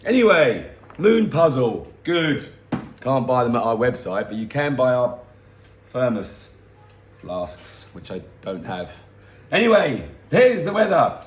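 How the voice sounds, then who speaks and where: Hoarse chatty middle-aged man in a roomy space. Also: mid-range voice, big pitch swings, takes it slowly, slight background noise. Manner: chatty and rambling, self-mocking asides. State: hoarse, losing his voice. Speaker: weather forecast host for a boat tour company. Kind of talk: casual weather vlog. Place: home, in front of a garden.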